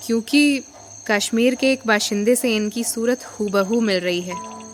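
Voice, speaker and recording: A woman playing a guarded, quietly authoritative elder sister in an audio drama, speaking in a measured, stern way. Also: fairly high pitch, steady tone, faint music behind, lightly acted.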